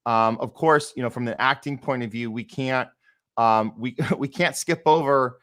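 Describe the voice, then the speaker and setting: A man's voice: mid-range voice, normal speed, fairly bright tone. Talkative man hosting a casual interview. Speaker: host, voice director and actor.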